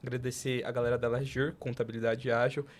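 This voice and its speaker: Brazilian man hosting a podcast, deliberate phrasing. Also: mid-range voice, flat delivery. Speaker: podcast host. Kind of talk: casual podcast interviewing.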